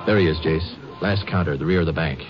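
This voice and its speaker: A man's voice: rumbling low, brisk, loud music behind the voice. A man acting a plain-spoken, alert Texas Ranger sidekick in an informal 1950s radio drama manner. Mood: alert, eager. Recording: muffled, noisy old radio recording.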